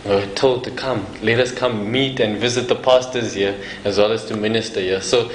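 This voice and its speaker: A young man from South Africa speaks in a low voice as the guest of an interview, casual and easygoing. He laughs often and restarts his sentences as he goes. The room is echoey, with a faint hiss behind him. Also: fairly even intonation, moderate pace. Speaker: evangelist guest.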